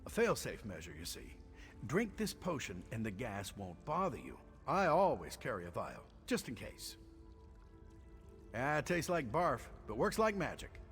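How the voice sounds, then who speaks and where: An actor playing a chatty, sardonic prison engineer in a video game. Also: male voice, medium pitch, wildly swooping pitch, unhurried, fairly bright tone, heavily acted. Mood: sardonic, explaining. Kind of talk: video game character acting. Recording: faint game music under the voice.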